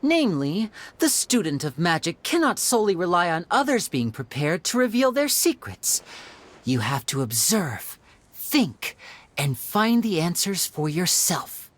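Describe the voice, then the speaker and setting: A man voicing a suave, wistful young male stage magician in a video game, by turns theatrical and playful or soft and reflective. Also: high voice, big pitch swings, unhurried, exaggerated voice. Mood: teasing. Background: game music.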